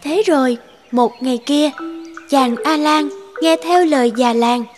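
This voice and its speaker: A woman's voice: sits high, measured pace, over music. A woman reading scripted fairy-tale narration as the storyteller.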